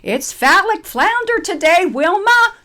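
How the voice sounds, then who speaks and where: Woman voicing a high, chipper old lady. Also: very animated, unhurried, exaggerated voice. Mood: playful. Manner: bright, eager, friendly. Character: chipper, kind-hearted old woman, retirement home resident.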